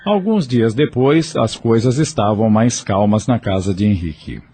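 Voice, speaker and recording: Deep, mellow male narrator. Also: faint music behind. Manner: measured, storytelling. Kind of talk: scripted audio drama narration.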